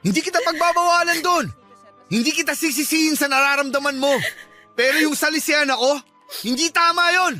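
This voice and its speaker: A heartbroken, betrayed suitor in a Filipino radio drama. His voice is extremely high and very forceful, anguished and accusatory, over a music bed. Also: brisk, heavily acted. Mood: accusing. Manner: anguished, accusatory, repeats himself in outbursts. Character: betrayed, heartbroken suitor Diego, enraged and anguished adult man.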